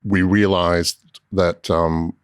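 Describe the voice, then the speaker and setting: A man's voice: low-pitched, little pitch movement, extremely slow, clean tone, no echo. A middle-aged man from Scotland with a Scottish accent, a guest in a casual podcast interview, telling his story with dry, self-deprecating humor. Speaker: guest, cooperage co-owner.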